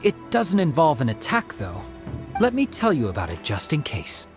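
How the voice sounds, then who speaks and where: Affable young detective, eager and chatty, polite with rambling asides. His pitch moves a lot; faint game music under him. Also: wildly swooping pitch, moderate pace. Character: affable male detective agency worker, eager and talkative. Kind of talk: video game voice acting. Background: game soundtrack music.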